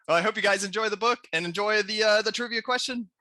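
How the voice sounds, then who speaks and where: A man in his thirties, a children's author putting a trivia question to kids, with pauses and thoughtful hums. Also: high voice, bright, forceful tone, no echo. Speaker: children's book author and illustrator. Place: small room at home. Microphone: headset.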